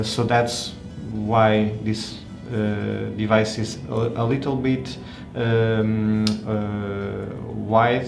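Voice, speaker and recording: Halting man over music in an echoey room. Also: on the low side, creaky. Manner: halting, hesitant delivery with frequent pauses and repeated words. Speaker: tech reviewer presenting a phone. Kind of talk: unscripted hands-on product review.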